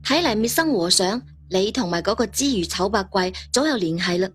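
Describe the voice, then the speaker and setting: A woman voicing a calm, reassuring older male hero in a martial arts audio story. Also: mid-range voice, a little fast, breathy edge. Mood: suspicious.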